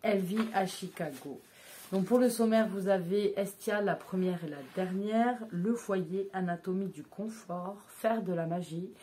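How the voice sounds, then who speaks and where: A woman's voice: medium pitch, unhurried, mellow, a little hiss or hum. A woman in her thirties chatting casually to camera as a vlogger, hesitant, with many filler sounds and restarts. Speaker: vlogger reviewing books.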